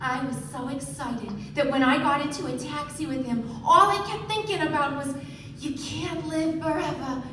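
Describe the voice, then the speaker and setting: Woman acting brassy gushing married mistress in a radio play, high voice, super echoey far off. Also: moderate pace, natural voice. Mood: gushing, flirty. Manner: theatrical, gushing storytelling. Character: brassy, tipsy, lovestruck married mistress, defiant and loud. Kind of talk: live 1920s-set radio play acting. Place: theatre stage before an audience. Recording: roomy, noisy stage recording from far off.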